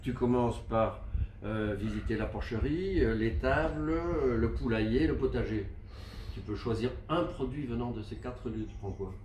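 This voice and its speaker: A man's voice: mid-range voice, moderate pace, darkish tone. A man conducting a casual, chatty interview in a familiar, informal way, often interjecting. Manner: familiar, interjects and echoes answers. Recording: echoey room, slightly distant, faint noise.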